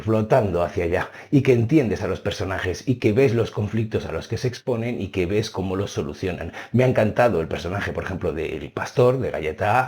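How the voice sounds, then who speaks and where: A man, a native Spanish speaker, casually reviewing books he has read, animated and stressing key words. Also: low voice. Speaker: book reviewer.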